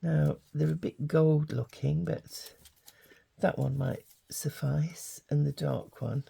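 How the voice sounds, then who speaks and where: Croaky, gravelly older woman crafting. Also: deep voice, slow. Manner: chatty, relaxed, thinking aloud. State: croaky from hay fever. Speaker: craft channel host. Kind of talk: casual craft tutorial.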